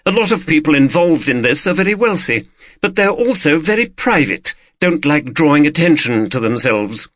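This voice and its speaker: A man's voice: a little high, very animated, normal speed, fairly bright tone, no room sound, pitched above the performer's own voice. A man voicing a wealthy, haughty woman collector in an audiobook, gracious, discreet and imperious. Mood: discreet, assured.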